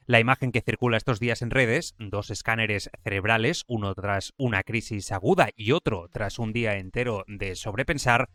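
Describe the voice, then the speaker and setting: A man's voice: medium pitch, fairly bright tone, no room sound. A man with an accent from Spain presenting a scripted science explainer as podcast host.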